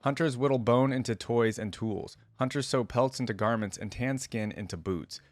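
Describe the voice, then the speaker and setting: A man's voice: mid-range voice, normal speed, no room sound. A man reading a story aloud and commenting on it in a casual, slangy way as a reaction host. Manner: informal, slangy asides. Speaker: host of a game lore reaction video.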